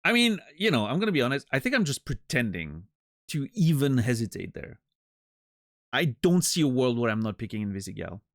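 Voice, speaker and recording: A man's voice: medium pitch, big pitch swings, a little fast, fairly bright tone, no echo, clean studio sound. A man streaming and chatting casually with his viewers, joking and rambling with many restarts. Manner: rambling, self-interrupting, joking. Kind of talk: casual chat with live chat.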